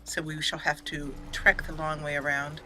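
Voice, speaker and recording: A woman's voice: deep voice, level tone, moderate pace, noisy background. A woman giving casual, chatty roleplay commentary on a game, slipping into emotive, story-like narration. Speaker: let's play host and gamer.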